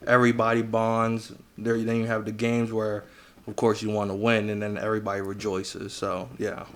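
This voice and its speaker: Low-voiced American man, fairly even tone. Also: moderate pace, smooth. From United States, Virginia. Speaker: podcast guest, business coach. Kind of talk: casual interview chat.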